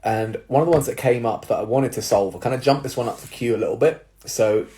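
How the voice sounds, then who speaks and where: A young man, a personal trainer, giving casual advice to viewers with a slightly nasal, stuffy-nosed voice, explaining things step by step with filler words and quick "right?" checks. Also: medium pitch, warm tone. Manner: explanatory, with tag questions and fillers. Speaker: personal trainer and jiu-jitsu coach.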